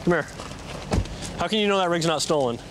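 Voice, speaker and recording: A male police officer talking plainly and barking slow loud commands at a night traffic stop. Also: a little high, lively intonation. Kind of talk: field commands and casual police talk. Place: outdoors at night on a roadside. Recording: noisy outdoor field audio.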